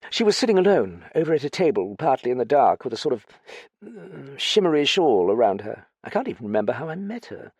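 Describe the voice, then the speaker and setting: A man voicing a naive, flustered young gentleman with hesitant, stammering upper-class slang. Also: medium pitch, very animated, brisk, husky, no echo, voice pushed up. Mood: chatty, awkward. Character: plump young English gentleman, naive, flustered, rather foolish.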